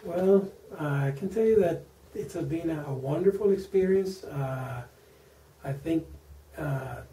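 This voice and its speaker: A man in his forties from Venezuela with a Venezuelan Spanish accent, a native Spanish speaker, answering interview questions slowly, with many fillers and repeated words. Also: fairly high pitch, warm tone. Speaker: interview guest, engineer. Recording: echoey room, mic at a distance.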